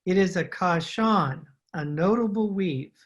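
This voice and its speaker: Woman as a husky, obsequious male rug merchant. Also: on the low side, animated, unhurried. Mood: ingratiating. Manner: formal, humble, ingratiating salesman patter. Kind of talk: old-time radio drama acting.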